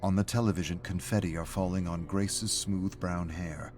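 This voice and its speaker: A man narrates a scripted story in a very low, deep voice. His delivery is that of a prose reader, with music playing softly behind him. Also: steady tone, moderate pace.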